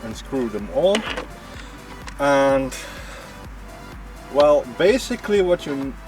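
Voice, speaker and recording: A man's voice: mid-range voice, sing-song, slow pace, darkish tone. A man with a Dutch accent hosting a casual car repair walkthrough, chatting to a helper and calling viewers guys. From Netherlands. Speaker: car repair channel host. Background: background music.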